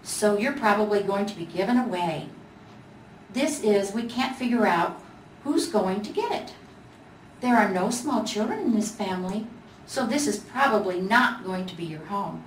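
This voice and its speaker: A woman's voice: mid-range voice, lively intonation, moderate pace, close to an everyday voice. A woman voicing a chatty little gingerbread boy ornament, proud and knowing, explaining things matter-of-factly in a storybook reading. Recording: echoey room, distant mic, faint noise.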